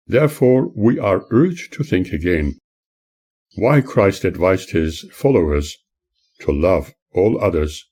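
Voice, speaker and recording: A man reading scripted audiobook-style narration in a measured, earnest, oratorical way. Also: deep voice, darkish tone. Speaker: author reading own book.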